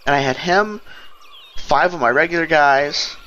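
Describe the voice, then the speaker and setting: A man's voice: a little high, measured pace, sharp tone, lo-fi sound, a little hiss or hum. A man giving casual, rambling gameplay commentary, thinking aloud as he goes. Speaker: let's play host. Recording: game music behind the voice. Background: fantasy game music and sounds.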